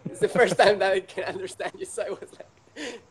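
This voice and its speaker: A man who speaks English as a second language, talking casually as an interview guest in a halting, repetitive way with many fillers. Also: high voice, steady tone, a crowd in the background. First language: not English.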